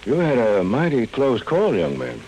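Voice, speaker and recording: A man acting an older, calm, plain-spoken ranch caretaker in an American 1940s radio drama, folksy and measured. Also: medium pitch, lo-fi sound. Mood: calm, concerned. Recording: old radio recording, faint noise.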